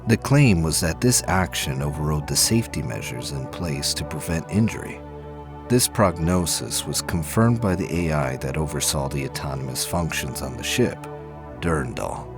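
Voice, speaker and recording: Very deep male narrator over music. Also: lively intonation, moderate pace. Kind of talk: scripted dramatic science fiction narration.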